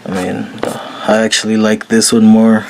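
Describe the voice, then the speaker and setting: A man with a very low voice talking casually and slowly while painting, with lots of fillers and a laid-back manner. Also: little pitch movement, vocal fry, noisy background. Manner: slow, rambling, frequent fillers, laid-back. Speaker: painting tutorial host. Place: small room at a painting table.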